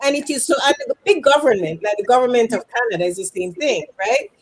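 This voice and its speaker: A woman's voice: a little high, very animated, quick pace, rough recording. A woman hosting a live career talk show, speaking emphatically and repeating phrases for effect. English is not her first language. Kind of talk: live interview chat. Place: Canada.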